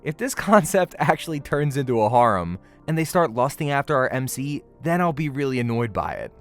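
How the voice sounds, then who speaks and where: A man hosts a casual, scripted review with music playing behind him. His mid-pitched voice moves up and down in lively fashion. The delivery is conversational and emphatic, with a playful edge. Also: brisk. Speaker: host, anime reviewer. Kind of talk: scripted video essay narration, casual first-impressions review.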